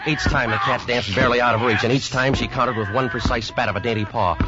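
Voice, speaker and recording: A man's voice: mid-range voice, fairly even intonation, quick pace, close to an everyday voice. An American actor playing a shaken man who tells of mortal danger at sea, with dramatic intensity, in an old radio play. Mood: urgent, excited. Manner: dramatic, intense first-person storytelling. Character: tense, shaken man recounting a deadly terror aboard ship. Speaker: radio drama actor narrating in first person. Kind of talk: 1940s-50s radio suspense acting. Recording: dull vintage radio recording, loud orchestral music.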